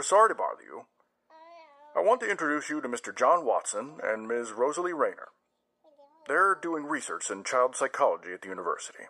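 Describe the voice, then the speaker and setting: An actress playing a courteous, brisk hospital staff member making introductions. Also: female voice, extremely low pitch, a bit bright, slightly rough, full character voice. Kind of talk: audio drama acting.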